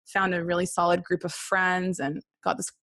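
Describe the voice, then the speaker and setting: A woman's voice: lowish voice, flat delivery, moderate pace, fairly bright tone, dry recording, clean studio sound. A woman from Australia, raised partly in Israel, telling personal stories casually and candidly as an interview guest. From born in Australia, raised in Israel and Brisbane. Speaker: podcast guest, singer-songwriter.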